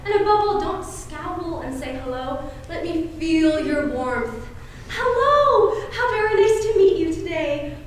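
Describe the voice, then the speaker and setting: Fussy genteel matron aunt, played by a young woman, American, coaxing, animated delivery, heard from far off in an echoey hall. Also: extremely high pitch, unhurried. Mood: coaxing, instructive. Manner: sugary, mannered, coaxing, flustered exclamations. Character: fussy, genteel Southern matron aunt, cajoling and easily flustered. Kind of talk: high school stage play acting. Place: indoor school stage, filmed performance. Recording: echoey hall, distant camera, faint noise.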